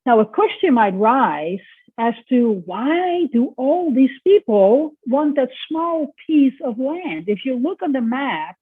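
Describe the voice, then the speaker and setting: A middle-aged woman with a Dutch accent lectures in a conversational, explanatory way, pausing on fillers as she goes. Her voice sits fairly high and slightly husky. The sound is tinny and phone-like, with a dry, close feel. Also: big pitch swings, no echo. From Netherlands. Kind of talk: recorded lecture.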